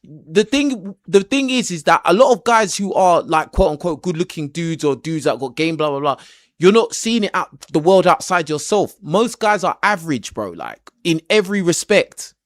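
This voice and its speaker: A man's voice: on the high side, big pitch swings, moderate pace, dry recording, clean studio sound. A man hosting a livestream debate, cutting in and repeating himself as he talks over his callers. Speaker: livestream host. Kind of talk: casual debate chat.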